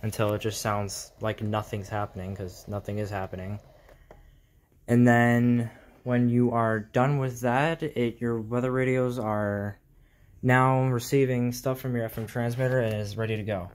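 Man, medium pitch, informal tutorial vlog, halting explanations, small home room, phone mic. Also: level tone. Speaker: hobbyist video host. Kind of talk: casual tech tutorial vlog.

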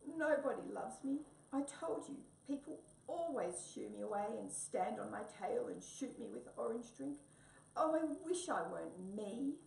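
A woman's voice: high voice, a little slow, warm tone, voice pushed up. A woman voicing a skinny, miserable male stray cat who speaks in a trembling, tearful way. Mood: wailing. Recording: roomy echo, distant mic, faint hiss.

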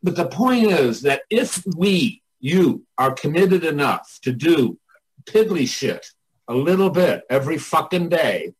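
A man's voice: on the high side, big pitch swings, measured pace, slightly rough, poor audio. An older man chatting casually and motivationally as a coach, candid, folksy and given to swearing. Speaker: artists' coach and mentor, podcast guest.

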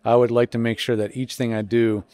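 A man's voice: medium pitch, little pitch movement, fairly quick, warm tone, smooth, no room sound. A man asking questions in a casual interview chat.

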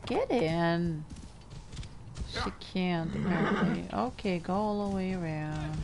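A woman's voice: lowish voice, expressive, slow, vocal fry, noisy background. A young woman from the Middle East with an Arabic accent, chatting casually with her stream while playing. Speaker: gamer streamer. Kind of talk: casual let's play commentary. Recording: game music underneath.

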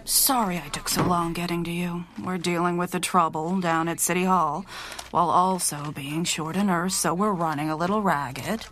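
An actress playing a kindly, flirtatious, busy nurse in a radio drama, chatty and soothing. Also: female voice, fairly low pitch, steady tone, normal speed, a bit bright, a little hiss or hum, lightly acted. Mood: chatty, harried.

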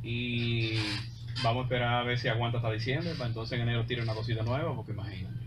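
A man's voice: mid-range voice, quick pace, recorded from a distance, background noise. A Dominican man talking as a musician guest in a casual radio interview, colloquial and emphatic, repeating phrases for stress. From Dominican Republic. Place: small radio studio.

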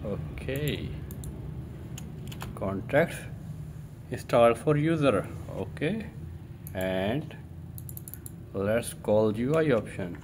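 A man presenting an informal step-by-step tech tutorial in a halting way, thinking aloud as he works. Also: mid-range voice. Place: indoors at a computer desk. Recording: steady room noise.

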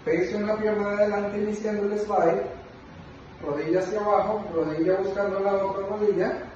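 A man in his thirties coaching bowling technique, moving through the drills as he explains them. His voice sits a little high and reaches a distant camera mic across a very echoey living room. He keeps stopping to ask "ok?" before moving on. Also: fairly even intonation, a little fast, a little mellow, muffled recording, a little hiss or hum. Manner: explanatory, frequent "ok?" check-ins, demonstrating moves while talking. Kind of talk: instructional demonstration. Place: living room at home, indoors. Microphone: camera a few meters away.

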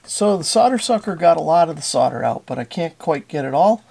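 An American man in his forties hosts a hands-on repair tutorial from his workshop. His voice sits a little higher than average and has a lot of fry in it. He explains each step plainly and methodically. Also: animated, fairly quick, a little mellow, slight background noise. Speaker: host of a radio repair channel. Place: small indoor workshop with concrete walls.

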